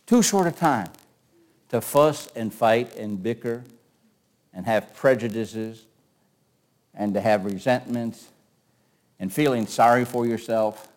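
A man's voice: medium pitch, slow pace, pauses often, a little mellow. A man in his sixties preaching in an emphatic, conversational way, repeating phrases and asking rhetorical questions as he teaches from scripture. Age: 60s. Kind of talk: sermon with scripture readings.

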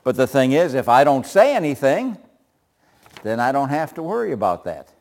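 A man leads a Bible study group in a loud, even-pitched voice. He chuckles often and keeps tossing questions back to the room. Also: moderate pace.

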